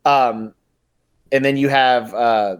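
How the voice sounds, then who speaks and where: A man's voice: medium pitch, fairly even intonation, slow, smooth. An American man co-hosting a podcast, giving his opinions in casual pop-culture conversation. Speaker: podcast co-host.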